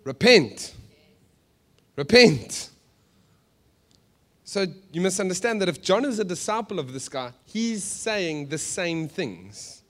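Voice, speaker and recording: A South African man preaching conversationally to a congregation, animated, with joking asides and rhetorical questions. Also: high voice, normal speed, few pauses. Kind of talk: sermon to a live congregation, conversational storytelling.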